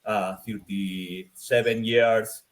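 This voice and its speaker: An older man whose first language is Spanish or Portuguese, introducing himself on a panel with halting, filler-heavy speech. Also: fairly low pitch, fairly even intonation. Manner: halting, many fillers, self-deprecating.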